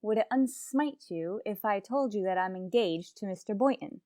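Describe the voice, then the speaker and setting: A woman playing a quick-witted schoolteacher with dry, sardonic asides in a radio comedy. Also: mid-range voice, animated, darkish tone, no echo, close to an everyday voice. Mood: dry, exasperated. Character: wry, quick-witted female schoolteacher fending off suitor. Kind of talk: old-time radio comedy acting.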